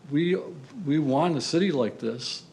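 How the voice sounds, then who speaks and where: Older gravelly-voiced resident at a public hearing. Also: male voice, on the high side, measured pace, a little mellow, poor audio. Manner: earnest, rambling, questioning. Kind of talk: public comment.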